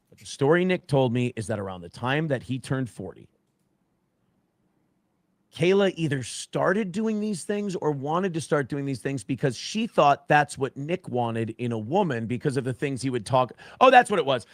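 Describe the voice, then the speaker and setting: A man's voice: mid-range voice, dry recording. A man in a played clip telling a story he heard firsthand, repeating and correcting himself as he goes. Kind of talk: casual stream talk.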